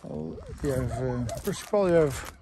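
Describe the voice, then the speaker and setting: A man with a Portuguese accent giving an informal property walkthrough as an estate agent, in halting, simple English with short phrases. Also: medium pitch, lively intonation. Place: outdoors on a rural farm. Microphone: handheld phone or camera held by speaker. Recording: outdoor handheld recording with faint background noise.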